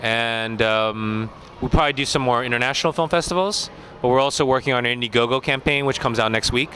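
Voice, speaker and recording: An American man speaks as a film director in a relaxed, unhurried festival interview, often pausing with fillers. His voice is bright and clear at a middle pitch. Faint traffic noise is in the background. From United States. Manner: relaxed, thoughtful, many fillers.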